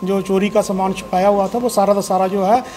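A middle-aged male police officer giving a formal press briefing, speaking in a measured way with frequent hesitations. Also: high voice, level tone, noisy background. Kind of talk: press conference statement. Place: indoor police station room. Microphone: table of press microphones.

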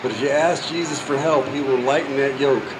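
A man's voice: mid-range voice, steady tone, a little fast, noisy background. A man street preaching on a town sidewalk, talking earnestly and encouragingly into a stand mic.

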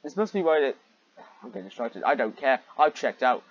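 Exasperated husky man with a lively pitch. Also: brisk, no room sound, dull audio. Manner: exasperated, easily sidetracked, breaking off to comment. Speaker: reader and commentator of fan fiction. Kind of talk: mocking read-aloud with rambling asides.

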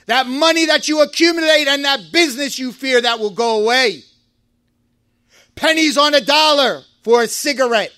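Male imam, very high bright voice, fervent sermon delivery with shouted peaks, dry close sound. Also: moderate pace, dry recording. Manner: impassioned preaching, rising to shouts, quotes Arabic verses. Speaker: imam giving a Friday sermon. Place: mosque.

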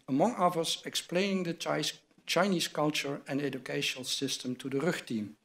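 An older man in a large wood-panelled hall, reading formal award citations from notes at a lectern microphone. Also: a little high. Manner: measured, reads from a script at a lectern. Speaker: award presenter at a university ceremony. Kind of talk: formal ceremony address read from notes.

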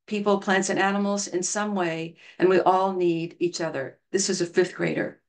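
A woman's voice: lowish voice, steady tone, moderate pace. A middle-aged woman giving an online lecture over slides on a video call, talking earnestly and telling stories. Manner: earnest, storytelling, reads quotes aloud. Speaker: education researcher presenting a talk. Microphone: video call webcam.

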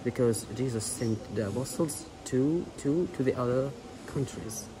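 A man speaks as a tour guide, laying out explanations in short, halting phrases of non-native English. His pitch is middling, and clear background noise fills the church space around him. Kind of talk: explaining a site to visitors. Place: large church interior. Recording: noisy ambience inside a church.

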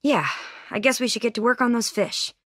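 A woman's voice: fairly high pitch, brisk, a bit bright, no echo, studio quality. A woman playing an earnest, anxious teenage girl survivor in a video game, friendly and folksy but hesitant and weighed down by guilt. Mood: friendly, upbeat. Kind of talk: naturalistic video game voice acting.

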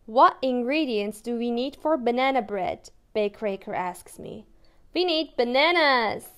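A young woman with a Turkish accent, an English teacher reading a picture book aloud to toddlers in a slow, sing-song, playful way. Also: fairly high pitch, animated, a bit bright, clean tone. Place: small room indoors.

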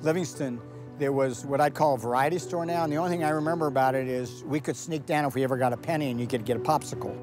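A man's voice: mid-range voice, quick pace, over music. An older man in an interview fondly recalling his childhood neighborhood. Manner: fond, nostalgic.

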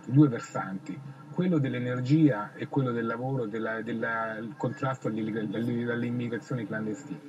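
A man's voice: medium pitch, steady tone, moderate pace, a little mellow, muffled recording, slight background noise. Hesitant middle-aged man interviewee. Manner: hesitant, restarts words. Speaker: former senator. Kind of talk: interview answer. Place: outdoors among trees.

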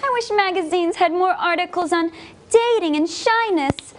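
A woman's voice: very high-pitched, normal speed, a little hiss or hum. A young woman acting an opinionated, fussy 1940s young woman in stage musical comedy. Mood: indignant, fussy. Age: young adult. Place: TV studio.

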